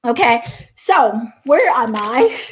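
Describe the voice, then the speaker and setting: A woman's voice: a little high, big pitch swings, slow pace, low-quality recording. A middle-aged woman from China with a Chinese accent, giving an earnest motivational talk as a coach and often checking in with "right?". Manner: earnest, many restarts and "right?" tags.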